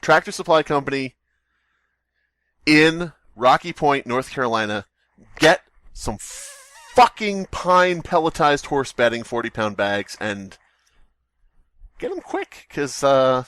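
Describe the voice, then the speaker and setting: A man's voice: mid-range voice, expressive, slow, fairly bright tone, no echo. A man hosting a podcast, ranting in an exasperated, profane way about a store. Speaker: podcast host. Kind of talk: comedic rant.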